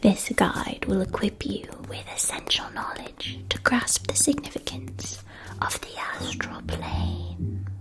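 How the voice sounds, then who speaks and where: Woman, breathy whisper, very slow pace, soothing, deliberate, ASMR read-aloud. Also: a little high, poor audio. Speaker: ASMR performer. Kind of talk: whispered ASMR roleplay, reading a book aloud.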